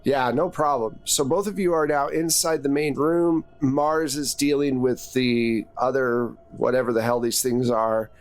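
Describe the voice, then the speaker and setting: A man's voice: medium pitch, fairly even intonation, a little slow, hardly any pauses. A man improvising narration as game master of a tabletop game, casual and conversational with vivid descriptions.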